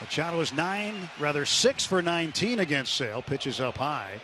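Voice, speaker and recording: Higher-voiced American baseball announcer, noisy ballpark. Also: male voice, expressive, normal speed. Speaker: baseball play-by-play radio announcer. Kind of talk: live sports commentary. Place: stadium broadcast booth. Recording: noisy ballpark radio broadcast.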